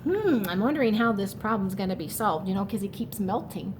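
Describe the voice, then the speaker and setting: A woman teacher giving a children's read-aloud and lesson, expressive and instructional, often asking questions. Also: mid-range voice, a little fast, background noise. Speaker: teacher and storyteller.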